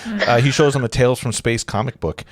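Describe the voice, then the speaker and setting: A man's voice: mid-range voice, lively intonation, brisk, dry recording. A man narrating screenplay directions in an informal live read, stumbling and correcting himself with amused asides.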